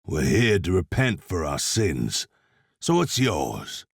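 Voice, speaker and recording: Grim Old West blacksmith turned demon slayer, husky deep voice, dry wit, laconic, video game character. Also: male voice, very animated, slow, dry recording, heavily acted. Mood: dry, wry. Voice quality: gravelly, husky. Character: grim, sardonic cowboy blacksmith demon hunter, adult man.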